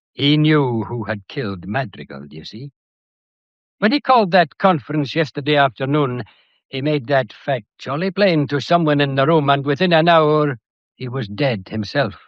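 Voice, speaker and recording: An actor playing a shrewd, methodical police detective in a British period mystery drama, courteous and lecturing, with a faintly theatrical delivery. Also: male voice, mid-range voice, no echo, dull audio, lightly acted. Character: shrewd, methodical male police detective laying out a murder case.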